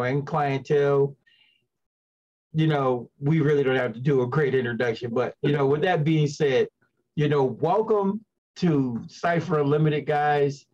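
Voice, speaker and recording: A man's voice: on the high side, darkish tone. Male interview host, casual and conversational, filler-prone, slightly lo-fi call audio. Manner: repeats "you know" as filler. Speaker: show host. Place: indoors on a video call.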